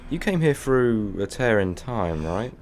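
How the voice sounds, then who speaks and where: A man playing a quick-witted, defiant human time traveller in a sci-fi audio drama, sardonic and chatty as he thinks aloud. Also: lowish voice, very animated, noisy background. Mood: working it out. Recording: music behind the voice.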